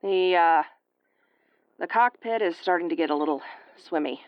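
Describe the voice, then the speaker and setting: A woman's voice: on the low side, normal speed, clean tone, no room sound, highs cut off, natural voice. Woman acting a sardonic, battered starship officer, dry close sound. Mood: weary, rueful. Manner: exasperated, swearing, wry tomboyish delivery. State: woozy and battered. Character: gruff, sarcastic, injured woman starship first officer. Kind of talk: audio roleplay acting, recorded log monologue.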